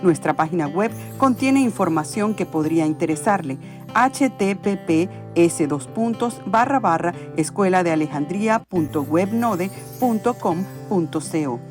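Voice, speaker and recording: A woman reads a scripted outro in an even, read-out way. Her voice sits low, with clear guitar music underneath. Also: unhurried. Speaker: announcer.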